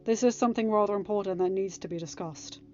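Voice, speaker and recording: A woman's voice: medium pitch, a little mellow, a little hiss or hum, lightly acted. Woman acting an earnest, formal young king, slightly muffled recording. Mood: grave, formal. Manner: formal, measured.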